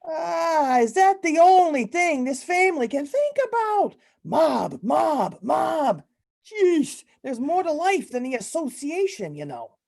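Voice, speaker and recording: A woman in an amateur old-time radio comedy, playing an exasperated, henpecked middle-aged mob boss father with gruff mobster slang. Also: high voice, very animated, slow, a little mellow, full character voice. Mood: exasperated outburst.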